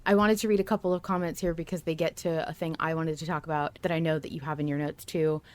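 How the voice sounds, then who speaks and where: A woman's voice: low voice, little pitch movement, fast talker. A woman co-hosting a podcast, chatting informally and animatedly as she thinks aloud about a story she follows. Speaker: podcast co-host. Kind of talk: casual fan discussion chat.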